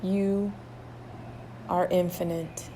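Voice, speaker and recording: A woman leading a guided meditation, soothing, with drawn-out phrases and long pauses between them. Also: fairly low pitch, flat delivery, warm tone, a little hiss or hum.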